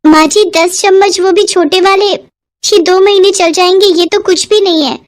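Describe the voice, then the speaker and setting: A woman's voice: very high voice, steady tone, a little slow, voice pushed up. A woman voicing a meek young daughter-in-law in a cartoon, with a polite, pleading manner. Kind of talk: cartoon voice acting.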